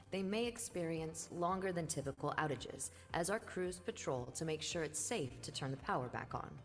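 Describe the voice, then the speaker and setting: Low, even-toned female voice-over for a utility safety video, played back in a hall with music under it. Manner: calm, even, informative. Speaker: video narrator. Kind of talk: scripted corporate voice-over.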